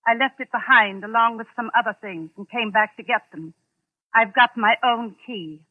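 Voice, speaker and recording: An actress playing an old, deferential, flustered housekeeper in a 1940s radio suspense drama. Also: female voice, a little high, moderate pace, dry recording, natural voice. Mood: deferential, explaining. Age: older. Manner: deferential, plain-spoken servant. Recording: muffled old radio drama, phone-like, dry.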